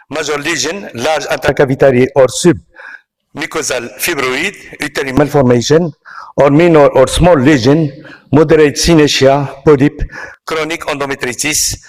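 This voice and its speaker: A man from Morocco with a Moroccan accent, giving a medical lecture at an online conference in a halting way, pausing often. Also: on the high side, darkish tone, poor audio. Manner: halting, with frequent pauses and hesitations. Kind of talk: medical presentation.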